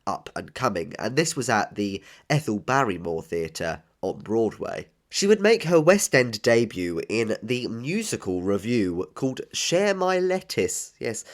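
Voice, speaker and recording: A man hosting a tribute podcast, nasal and a bit bunged up with a cold, earnestly listing credits with enthusiasm. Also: on the low side. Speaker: podcast host. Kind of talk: scripted tribute podcast narration.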